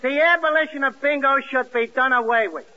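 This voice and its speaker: High-pitched, aggrieved American husband. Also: male voice, brisk. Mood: deadpan grievance. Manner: deadpan, uneducated grammar. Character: aggrieved working-class husband, deadpan griper about his wife. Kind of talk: 1940s radio comedy acting. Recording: muffled, noisy 1940s radio recording.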